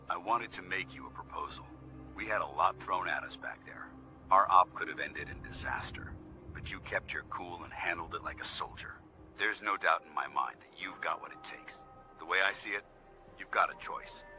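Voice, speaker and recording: An actor playing a stern, disciplined military paladin in a video game, speaking formally and commandingly, in clipped phrases. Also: male voice, sits low. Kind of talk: scripted video game dialogue. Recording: loud music behind the voice.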